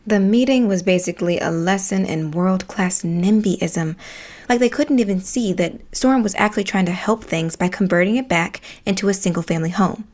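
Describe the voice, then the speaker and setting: An actress playing a sardonic, opinionated neighbor in an audio play, narrating events in a conversational, pointed way. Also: female voice, mid-range voice, normal speed, a little mellow, lightly acted. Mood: exasperated, wry. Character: opinionated, sardonic woman, socially conscious neighbor. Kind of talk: storytelling monologue in an audio play.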